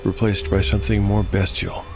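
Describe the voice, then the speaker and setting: Very deep-voiced man narrating dark fantasy. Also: little pitch movement, normal speed, vocal fry, over music. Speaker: narrator and game master.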